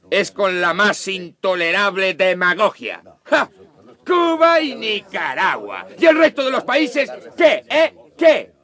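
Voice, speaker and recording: A man's voice: high-pitched, pushed and bright, full character voice. A man acting an indignant lawyer in a radio drama, declaiming objections and rhetorical questions. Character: indignant male lawyer objecting loudly in a courtroom.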